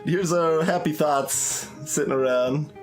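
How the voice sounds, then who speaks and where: A man's voice: on the high side, unhurried. A young man giving commentary on a game, musing aloud with many fillers and restarts. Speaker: gaming YouTuber. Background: soft game music.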